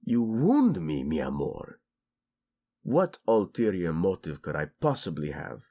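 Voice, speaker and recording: A man's voice: sits low, wildly swooping pitch, a little slow, dark tone, heavily acted. A man playing a flirtatious, doting prince and husband in a romantic audio roleplay, speaking intimately and expressively. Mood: teasing, flirtatious.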